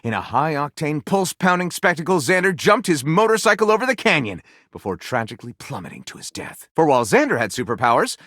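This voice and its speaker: A theatrical video game storyteller with a highish, widely swooping voice. He narrates in a mock-dramatic, literary way and adds eager comic commentary. The sound is dry and close. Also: big pitch swings, quick pace, few pauses, a bit bright, dry recording. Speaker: video game character voice actor.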